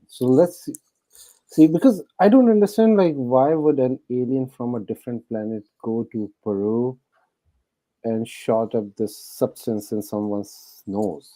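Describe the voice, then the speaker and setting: A man with a non-native accent talks in a casual discussion, his voice very dark and mellow. He is heard close and dry. He argues in an animated, questioning way, full of fillers. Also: mid-range voice, no echo, low-quality recording. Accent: non-native English. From abroad, lives in Canada.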